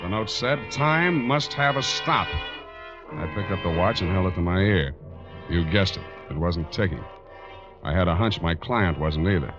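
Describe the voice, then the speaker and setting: A hard-boiled American detective from 1940s radio. His voice sits fairly low, dry and wisecracking. The old broadcast sounds dull, with music clearly behind it. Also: animated, moderate pace. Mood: wry, grim. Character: wry, hard-boiled male private detective.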